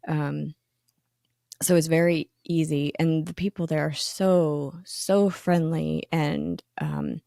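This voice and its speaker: A woman's voice: low-pitched, lively intonation, slow, gravelly, mellow, no echo, studio quality. A woman co-hosting a podcast in a casual, enthusiastic chat, with frequent ums and restarts. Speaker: magazine editor, co-host.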